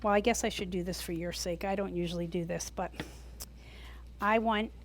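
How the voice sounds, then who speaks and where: A woman with a US East Coast accent teaching a gourd craft class live to a small group, talking loudly and chattily. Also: fairly low pitch, moderate pace, a little hiss or hum. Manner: loud, chatty, animated. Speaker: gourd art instructor. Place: workshop room with a small audience.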